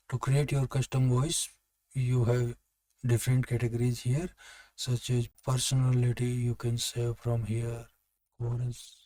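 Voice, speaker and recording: A man narrating step-by-step software instructions as a tutorial presenter. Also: mid-range voice, low-quality recording. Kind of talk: instructional screen-recording narration.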